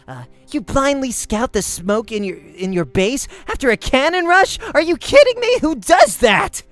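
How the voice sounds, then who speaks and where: A man's voice: very high voice, big pitch swings, moderate pace, fairly bright tone, soft background music, voice pushed up, heavily acted. A man voicing a cocky, hot-tempered young male pro gamer in a visual novel, brash and slangy. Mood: angry, incredulous.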